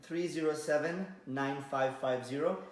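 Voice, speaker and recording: A man's voice: fairly high pitch, moderate pace. A man with a Kenyan accent co-hosting a podcast, bantering casually and switching between languages. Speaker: podcast co-host and DJ. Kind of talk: casual bilingual chat, reading viewer comments. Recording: echoey room.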